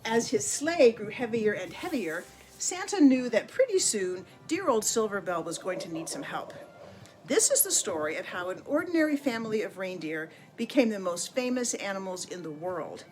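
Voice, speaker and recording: A woman narrating a children's picture book in an expressive, deliberate storytelling manner with dramatic pauses. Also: medium pitch, faint music behind, rough recording. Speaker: storybook reader.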